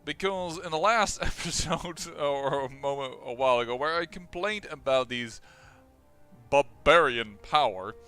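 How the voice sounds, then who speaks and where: A young man with a Dutch accent, casually commentating his own strategy game playthrough, excitable and quick, often restarting his sentences. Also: mid-range voice, smooth, faint music behind. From Netherlands. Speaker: let's play host.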